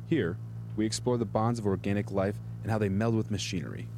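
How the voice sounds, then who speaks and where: An actor playing a composed senior research scientist in an audio drama, speaking in a measured, formal, polite manner. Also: male voice, lowish voice, background noise, natural voice. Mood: proud, explanatory. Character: composed senior male research scientist, smoothly justifying dubious experiments.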